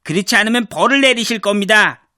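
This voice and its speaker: An actor playing a clever, scheming male cat in boots who talks politely and flatteringly in a cartoon. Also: sits high, fast. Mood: courteous. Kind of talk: cartoon voice acting.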